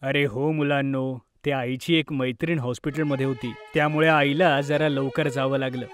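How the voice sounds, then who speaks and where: A man voicing a kindly, apologetic father in a children's cartoon, talking gently and reassuringly. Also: mid-range voice, fairly even intonation, normal speed, soft background music, pitched below the performer's own voice, close to an everyday voice. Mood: gentle, explaining.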